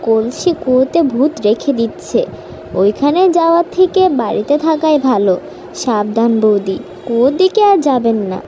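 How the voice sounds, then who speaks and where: A woman's voice: a little high, animated, moderate pace, warm tone, slight background noise, natural voice, rough recording. A woman voicing a gossipy, fearful village neighbour in a cartoon, confiding and cautioning. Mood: warning. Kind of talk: cartoon voice acting.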